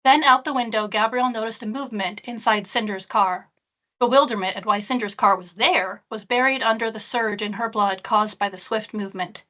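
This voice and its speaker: Woman, author guest on a book event, bright forward tone, pitched a little high. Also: a little fast. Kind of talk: reading own fiction aloud, then casual chat.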